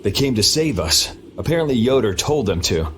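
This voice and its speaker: A man's voice: mid-range voice, sing-song, a little fast, a bit bright, a little hiss or hum. A man playing a cynical, self-assured young swordsman in a video game, wry and blunt. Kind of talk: dramatic video game voice acting.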